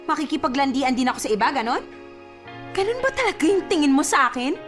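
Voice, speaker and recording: High-voiced, fed-up girlfriend. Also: sing-song, fast talker. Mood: exasperated, angry. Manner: exasperated, dismissive, challenging. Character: fed-up, defiant young girlfriend arguing with her boyfriend. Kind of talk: Filipino radio drama acting. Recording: music bed under the voice.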